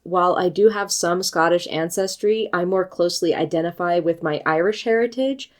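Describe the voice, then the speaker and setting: Measured, articulate American book reviewer woman. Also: lowish voice. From United States. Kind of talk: scripted video essay.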